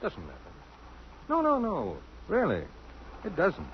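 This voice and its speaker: An actor playing a courteous, world-weary, cultured doctor in an old radio drama, with a refined and hesitant manner. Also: male voice, sits high, huge pitch swings, unhurried, full character voice. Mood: resigned, gentle. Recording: muffled old radio recording, faint noise.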